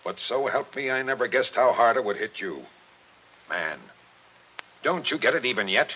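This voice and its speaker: An actor playing a blunt, impatient police captain in a 1940s radio mystery. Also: male voice, medium pitch, lively intonation, pushed and bright, breathy edge. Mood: tough. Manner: blunt, pushy, brisk. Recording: dull old radio broadcast.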